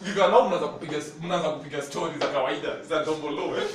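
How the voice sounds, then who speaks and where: A man's voice: fairly high pitch, very fast. A man with a Kenyan accent, a Luhya native speaker, preaching a sermon in a church and telling stories animatedly with frequent laughter. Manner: animated storytelling, laughing often. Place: church hall. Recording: very echoey hall, from far off, noisy.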